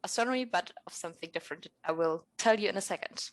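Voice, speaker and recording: A woman's voice: lowish voice, fast. A woman hosting a casual podcast, cheerful and upbeat as she introduces games. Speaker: podcast host.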